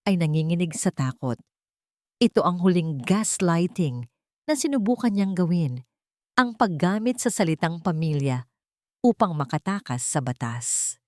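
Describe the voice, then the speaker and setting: A woman narrates a dramatic audiobook tale in a lowish voice. The delivery is scripted storytelling, and the recording is close and dry, with hardly any room sound. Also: a little mellow, no room sound.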